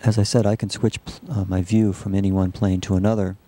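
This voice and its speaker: A man narrating a software demonstration as a researcher, explaining it in a halting, measured way. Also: deep voice, flat delivery. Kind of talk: live demo narration of a computer animation.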